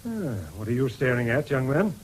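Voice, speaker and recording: An actor with an English accent playing a genial but firm country doctor in a radio drama. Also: male voice, medium pitch, big pitch swings, fairly quick, a little hiss or hum, lightly acted.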